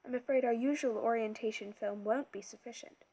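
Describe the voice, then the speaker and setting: Woman doing a gentle, learned old teacher. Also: fairly high pitch. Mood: gentle, earnest. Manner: gentle, measured, patient.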